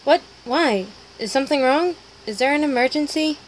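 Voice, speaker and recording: A woman's voice: sits high, normal speed. A woman voice acting short comic dialogue as an amateur dub, in a noisy home recording. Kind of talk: amateur dramatic comic dubbing.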